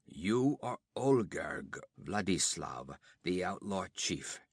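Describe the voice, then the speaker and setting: A man voicing a wounded, defiant barbarian warrior who rasps and pants his words slowly. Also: low voice, very animated, no echo, studio quality, voice pushed down, heavily acted. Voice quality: rasping. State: panting, badly wounded.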